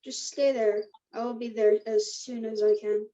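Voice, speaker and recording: A woman plays a worried, caring teenage boy in a script table read. The voice is distinctly high. The recording is dull and lo-fi. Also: flat delivery, normal speed, warm tone, lightly acted. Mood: urgent, concerned. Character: Max, anxious, caring teenage boy helping a friend.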